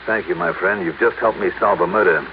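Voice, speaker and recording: An actor playing a shrewd, cool American investigator, measured and probing, in an old-time radio drama. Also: male voice, fairly low pitch, close to an everyday voice. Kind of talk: old-time radio drama acting and first-person narration. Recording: muffled, noisy old radio recording.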